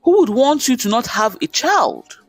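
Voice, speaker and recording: A man's voice: very high-pitched, sing-song, moderate pace, dry recording, faint music behind, pitched above the performer's own voice, full character voice. A man voicing a vengeful older Nigerian mother in a folktale, indignant and berating, with exclamations. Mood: alarmed, indignant.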